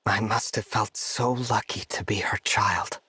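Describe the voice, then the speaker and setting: An actor playing an earnest, soft-hearted young hero in a video game, hesitant and sincere. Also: male voice, lowish voice, fairly even intonation, unhurried, husky, dry recording, full character voice. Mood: wistful. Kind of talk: scripted video game voice acting.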